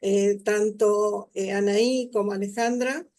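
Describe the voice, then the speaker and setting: A woman's voice: mid-range voice, fairly even intonation, takes it slowly, a little mellow. A woman moderating an academic talk over a video call, hesitant, with many fillers and restarts. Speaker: symposium moderator.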